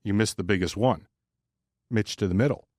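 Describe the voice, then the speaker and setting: A man's voice: fairly low pitch, moderate pace, a little mellow, dry recording, studio quality. A man co-hosting a sports podcast, chatting casually in a blunt, crude-humored way. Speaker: podcast co-host.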